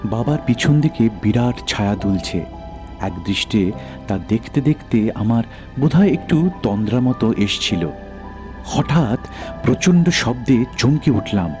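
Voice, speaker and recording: A man's voice: mid-range voice, animated, measured pace, over music. A man narrating a first-person horror audio story in a dramatic storytelling style.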